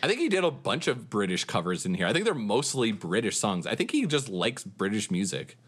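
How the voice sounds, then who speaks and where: A man's voice: lowish voice, big pitch swings, a little fast, breathy edge. A man co-hosting a casual music podcast, joking and introducing each track with band trivia. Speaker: podcast co-host presenting songs. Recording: loud music playing underneath.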